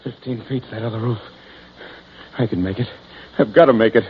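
A man's voice: low voice, expressive, fast. An actor playing a desperate male hypnotist in a vintage crime radio drama, talking in theatrical, urgent phrases. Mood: panicked, desperate. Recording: dull lo-fi radio recording, loud music.

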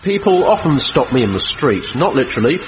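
A man's voice: medium pitch, very animated, a little fast, lightly acted. An actor playing a chatty, self-satisfied 1950s British film studio man presenting his effects work in a drily matter-of-fact way. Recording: muffled vintage film sound, loud background noise.

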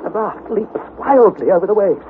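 An anxious young explorer telling his sea journal in a dramatised narration. His voice is fairly high, theatrical and intense. It comes through a tinny narrow band with clear noise behind it. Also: animated, moderate pace. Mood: intense, awestruck.

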